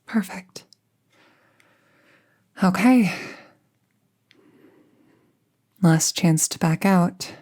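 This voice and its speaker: Soft, husky apprentice witch played by a woman. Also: on the low side, very slow. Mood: reassuring. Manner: soft-spoken, breathy, careful and reassuring. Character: gentle, reassuring young apprentice witch casting a spell. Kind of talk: intimate roleplay audio drama.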